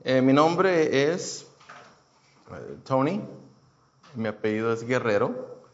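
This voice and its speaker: A man in his thirties from Houston, sharing his personal testimony at a mid pitch. He talks casually and haltingly, with fillers and false starts all through. The recording is muffled and lo-fi. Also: lively intonation. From Houston, United States. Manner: hesitant, many fillers and restarts. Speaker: churchgoer giving a testimony.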